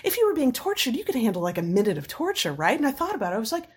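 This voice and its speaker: A woman with a slightly scratchy voice, telling personal stories in a casual, animated, laughing way. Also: on the high side, racing, breathy edge. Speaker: storyteller in a chat. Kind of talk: casual anecdotal chat.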